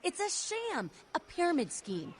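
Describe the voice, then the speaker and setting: Casting: idealistic vampire firebrand for a game, high and very lively delivery, passionate soapbox manner. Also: female voice, a little slow, full character voice. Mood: scornful, cocky. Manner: passionate, cocky, preachy. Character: fiery, idealistic young female vampire rebel. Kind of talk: video game dialogue acting. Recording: background music under the voice.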